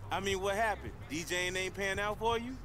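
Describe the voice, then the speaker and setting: A man's voice: high voice, a little fast, close to an everyday voice. Man acting a streetwise young American baller. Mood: cocky. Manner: slangy, cool, casual. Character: confident, streetwise young male basketball player. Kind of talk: video game cutscene acting.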